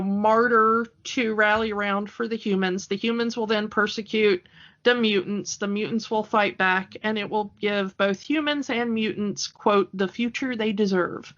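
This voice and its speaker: Bright-voiced woman podcaster, unhurried pace. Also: on the high side, lo-fi sound. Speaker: podcast co-host. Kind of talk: casual chat recapping a TV cartoon.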